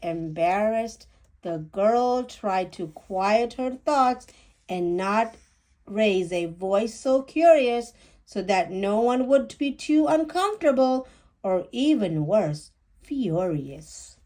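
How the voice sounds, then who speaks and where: A woman reading a rhyming children's picture book aloud with deliberate, animated phrasing. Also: medium pitch.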